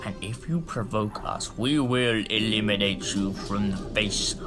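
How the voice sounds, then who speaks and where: An actor playing a stately, defiant Lord President in a sci-fi audio drama, speaking in a grave, formal, measured way. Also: male voice, lowish voice, music in the background, natural voice. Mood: haughty defiance.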